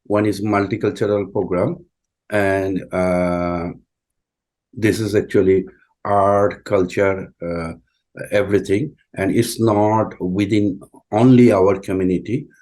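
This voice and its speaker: A deep-voiced man, speaking English as an after Bengali. He talks slowly and haltingly, with frequent fillers and restarts as he answers interview questions. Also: fairly even intonation, a little mellow. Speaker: community school president, guest.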